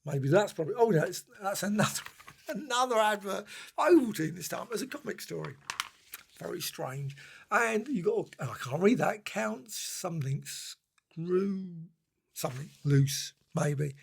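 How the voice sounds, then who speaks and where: Raspy, higher-pitched man, casually musing. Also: expressive, unhurried. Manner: rambling, musing, often trailing off. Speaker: book and comic reviewer. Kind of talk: casual unscripted review commentary.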